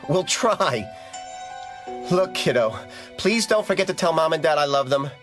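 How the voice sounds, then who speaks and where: High-voiced, anxious young American man. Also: lively intonation, a little slow. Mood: tender, strained. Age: young adult. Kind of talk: audio horror drama acting. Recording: music behind the voice.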